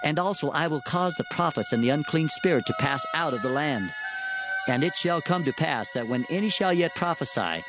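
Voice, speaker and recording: An actor playing a grave, earnest Hebrew prophet in a dramatized scripture reading, measured and declamatory. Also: male voice, fairly high pitch, lively intonation, low-quality recording. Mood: solemn. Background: music.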